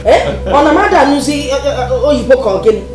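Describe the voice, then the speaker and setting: A woman with a Nigerian accent playing a brash, excitable in-law who complains, in a film drama. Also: high voice, fairly quick. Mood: agitated complaint. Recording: echoey room, music behind.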